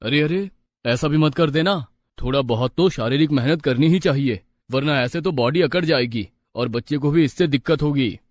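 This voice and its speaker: A man playing a calm, kindly village doctor with a measured, advisory manner. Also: fairly high pitch, close to an everyday voice. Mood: congratulatory, advising.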